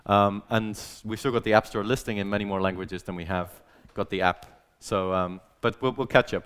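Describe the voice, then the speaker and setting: A man with a fairly low, clear and smooth voice gives an informal business presentation. He explains things casually, in everyday conversational phrasing, as a speaker addressing an audience. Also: a bit bright. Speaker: conference speaker, growth manager.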